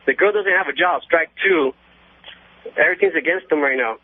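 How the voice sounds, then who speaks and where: A man's voice: on the high side, fairly quick, background noise. A man phoning in to a radio show, chatting casually as a caller. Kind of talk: casual phone-in chat. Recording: tinny, muffled phone line.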